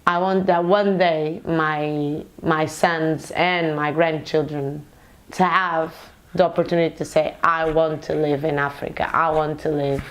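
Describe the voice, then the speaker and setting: A young woman from Portugal, a nurse, talking earnestly in a documentary interview, pausing often to find her words. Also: sits low. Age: young adult. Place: London.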